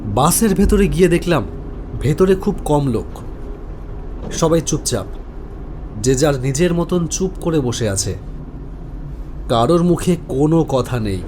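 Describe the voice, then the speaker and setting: A man's voice: on the high side, animated, unhurried, long pauses, warm tone, a little hiss or hum. A man narrates a scripted first-person horror story.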